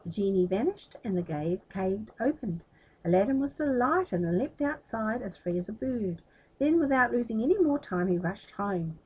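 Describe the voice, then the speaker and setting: A woman reading a children's story aloud in an expressive, explanatory way. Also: mid-range voice, moderate pace, slightly rough, low-quality recording.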